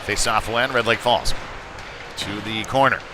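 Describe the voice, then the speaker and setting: A man's voice: fairly low pitch, fairly even intonation, a little slow. A man doing live play-by-play of youth hockey in a casual, folksy, slightly hesitant way. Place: ice rink, live stream broadcast. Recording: clear rink noise behind the voice.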